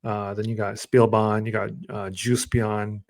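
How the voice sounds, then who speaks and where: A man's voice: on the low side, moderate pace. Male podcast co-host, easygoing, chatty, lots of "uh" and "like". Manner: relaxed, rambling, filler-heavy. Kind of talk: casual podcast chat.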